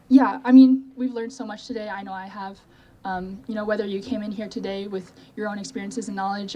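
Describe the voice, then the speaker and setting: A woman's voice: mid-range voice, warm tone, slight background noise. A young adult woman co-hosting an event, speaking informally to an audience. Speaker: event co-host. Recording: outdoor event with crowd sounds. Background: crowd.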